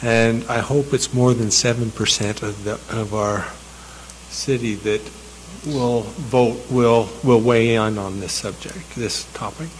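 A man with a mid-pitched voice makes a brief comment at a council meeting. His speech is halting, with some stretches rushed and others slow, and a faint hum sits behind him. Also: poor audio. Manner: halting, uneven pace. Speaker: council member. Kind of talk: formal meeting remarks.

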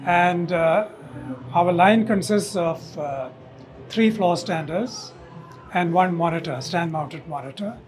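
High-pitched man explaining technical details. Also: faint music behind. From India. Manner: halting, explanatory, tags statements with "okay". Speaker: loudspeaker company owner and designer, interviewee. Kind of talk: unscripted technical explanation in interview. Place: hotel corridor at an audio show. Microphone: lapel mic.

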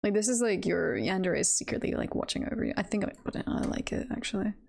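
A woman's voice: medium pitch, very animated, fast, creaky, a little mellow. A woman livestreamer chatting casually and hesitantly as she talks through tier lists. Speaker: streamer.

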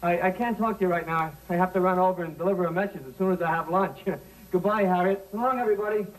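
Man playing a high-voiced goofy teenage boy. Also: recorded from a distance, natural voice, rough recording. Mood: bashful, flustered. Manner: halting, gushing. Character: goofy, bashful, slow-witted teenage schoolboy. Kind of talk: 1950s sitcom acting. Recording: old television soundtrack, off mic.

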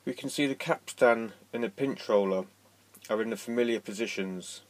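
A man giving a scripted demonstration in a plain, measured, matter-of-fact way. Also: lowish voice. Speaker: presenter demonstrating vintage equipment. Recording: faint background noise.

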